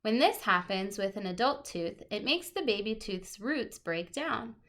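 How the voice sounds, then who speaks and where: A woman's voice: mid-range voice, expressive, a little slow, a bit bright. A woman teacher reading a children's nonfiction picture book aloud, stressing key words expressively and adding casual asides.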